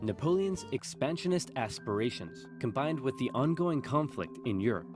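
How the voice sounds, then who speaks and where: A man's voice: mid-range voice, lively intonation, moderate pace, over music. A man narrating comedic scripted history with a wry, punchy delivery.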